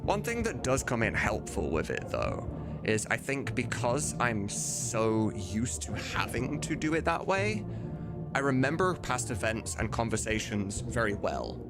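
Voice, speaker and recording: Candid rambling man over background music. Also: on the low side. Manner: candid, rambling, swears casually. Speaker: streamer. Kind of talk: casual livestream chat answering viewers.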